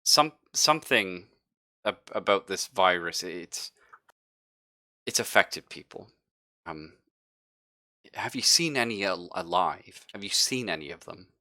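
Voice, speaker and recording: A man acts out a younger male survivor of a space crew in a sci-fi roleplaying game. He is low-voiced, bright and slow, nervous and evasive, stammering his way through halting explanations. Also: animated, dry recording, studio quality, full character voice. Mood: uneasy, halting.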